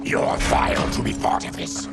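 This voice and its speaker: A man playing a grim, indignant monstrous warrior with a gravelly growl in a video game cutscene. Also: normal speed, over loud music, natural voice. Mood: suspicious.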